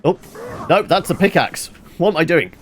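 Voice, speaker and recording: A man's voice: a little high, animated, normal speed, a little hiss or hum. A man giving casual video game commentary, rambling and thinking aloud to himself. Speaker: gameplay streamer.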